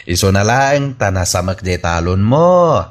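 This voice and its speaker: An actor with an Ilocano accent playing a needling, skeptical farmer friend in a radio comedy drama. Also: male voice, lowish voice, sing-song, a little slow. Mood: mocking, needling.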